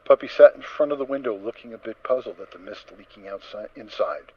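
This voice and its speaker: A man narrating a story as a dramatic reading, with expressive, theatrical emphasis. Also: lowish voice, fairly quick, breathy edge. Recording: dull recording with faint noise.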